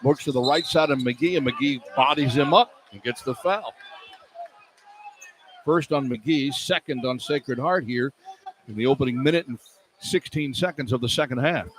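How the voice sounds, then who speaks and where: A man's voice: medium pitch, moderate pace. A man calling live basketball on the radio as play-by-play announcer, describing the action in clipped running phrases. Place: indoor sports arena. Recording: live arena broadcast with background noise.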